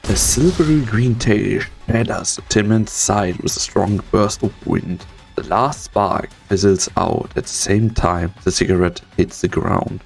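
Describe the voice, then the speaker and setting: A man's voice: fairly low pitch, a little slow, gravelly, hardly any pauses. A man reading scripted dramatic narration for a fan-dubbed game story. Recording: background music under the voice.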